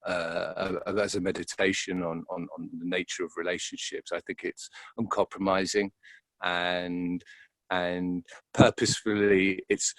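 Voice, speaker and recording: Man, low voice, dry close sound, halting delivery, repeated words, reflective interview answers. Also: level tone, a bit bright, no echo. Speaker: interview guest, actor. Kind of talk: reflective, informal interview conversation.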